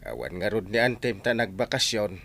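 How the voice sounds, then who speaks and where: A man's voice: fairly low pitch, sing-song, gravelly, fairly bright tone, heavily acted. A man playing a hesitant village man who stammers, in a radio comedy drama. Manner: halting, stammering.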